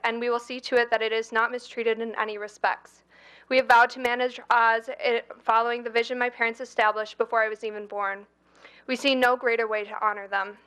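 A woman's voice: on the high side, a bit bright. A young woman with an Upper Midwest American accent, reading an earnest prepared statement at a city council meeting. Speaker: public commenter, daughter of the donors.

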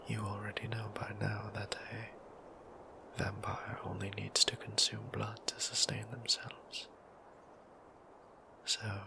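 Brief: male actor as a tender, old-fashioned vampire priest; low-pitched, unhurried, whispered ASMR roleplay. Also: poor audio. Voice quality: soft whisper, gravelly. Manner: formal, old-fashioned phrasing, gently teasing. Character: gentle formal male vampire priest, doting.

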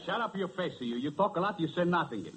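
An actor playing an irate, blustering car owner with a broad Italian accent in 1930s radio dialect comedy. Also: male voice, high voice, animated, fast, a bit bright. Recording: vintage 1930s radio with audience noise.

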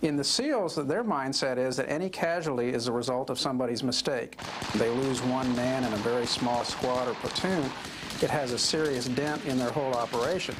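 A man offers unscripted, analytical commentary in a medium-pitched voice. Loud gunfire makes the recording very noisy behind his words. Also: brisk.

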